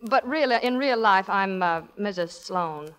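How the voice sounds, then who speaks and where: An actress playing a quick-witted, easily flustered columnist with an American accent, in a 1940s radio comedy. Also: female voice, medium pitch, expressive, fairly bright tone, clean tone.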